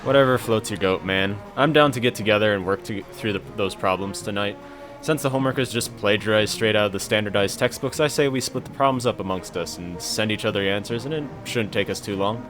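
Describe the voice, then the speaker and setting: A man's voice: on the low side, a little fast, over music, close to an everyday voice. A man playing a laid-back, wisecracking student gamer in a casual amateur audio drama. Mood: easygoing banter. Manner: casual, joking.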